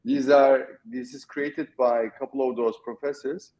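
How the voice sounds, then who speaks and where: A middle-aged man with a Turkish accent, a guest in an interview, talking in a halting way with many restarts. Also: mid-range voice, fairly even intonation, darkish tone, dull audio. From Turkey. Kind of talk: casual interview talk.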